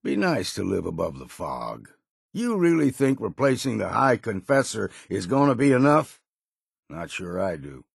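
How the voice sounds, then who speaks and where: An actor playing a gruff, wary old islander sailor in a video game, with a gravelly, husky voice and salty, folksy, blunt talk. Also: male voice, fairly low pitch, wildly swooping pitch, moderate pace, no room sound, studio quality, exaggerated voice. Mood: bitter, blunt. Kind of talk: scripted video game voice lines.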